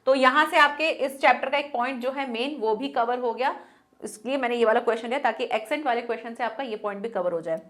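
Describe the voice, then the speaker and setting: A woman teacher giving an online class, dictating answers slowly while she writes and explaining in Hindi mixed with English. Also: fairly high pitch, fairly bright tone.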